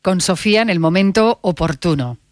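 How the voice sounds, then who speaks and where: Low-voiced woman radio host. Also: lively intonation, dry recording, studio quality. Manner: measured, guiding questions. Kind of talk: radio interview.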